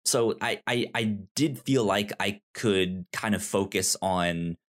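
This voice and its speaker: A man co-hosting a podcast, giving a casual review in a hesitant, halting way, repeating words as he searches for phrasing. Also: lowish voice. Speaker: podcast co-host. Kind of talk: casual conversational book review.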